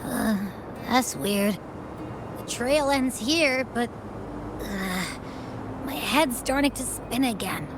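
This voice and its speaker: A woman's voice: fairly high pitch, takes it slowly, pauses often, in a large hall, background noise. A woman voicing a small, brash, boastful creature companion in a video game. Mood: dazed.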